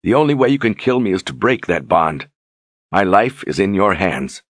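A man voicing a calm, steadfast young lord who speaks gently and reassuringly. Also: deep voice, normal speed, no echo, clean studio sound. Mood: firm.

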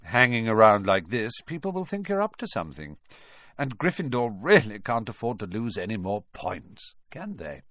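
A man voicing a sly male teacher in an audiobook, smooth, sneering and threatening. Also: lowish voice, sing-song, moderate pace, fairly bright tone, no room sound, studio quality. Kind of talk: audiobook character voice.

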